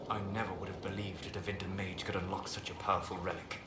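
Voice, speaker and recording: Man playing a low-voiced, scholarly elven mage. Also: little pitch movement, close to an everyday voice. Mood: measured, courteous. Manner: measured, formal, precise phrasing. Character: calm, scholarly male elven mage advisor, formal and reserved. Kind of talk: video game dialogue acting. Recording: roomy echo, clear background noise.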